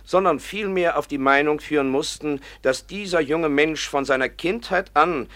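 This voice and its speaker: A man's voice: fairly high pitch, moderate pace, fairly bright tone, lightly acted. A man acting in a German radio play as an earnest professor and pedagogue, formal and articulate. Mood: measured reading. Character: earnest male professor and pedagogue, devoted to his ward.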